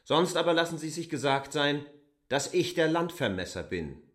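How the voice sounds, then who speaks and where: An actor playing a stubborn, self-assured traveling land surveyor in a radio drama, speaking formally and firmly. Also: male voice, a little high, sing-song, moderate pace, a bit bright. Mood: indignant. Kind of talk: German radio drama acting. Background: mallet percussion music.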